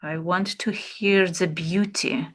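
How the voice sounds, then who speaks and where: A woman, an English speaker, teaching an art therapy class, speaking slowly and reflectively with fillers and pauses. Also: fairly low pitch, steady tone. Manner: slow, searching, with fillers and pauses. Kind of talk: relaxed reflective class talk.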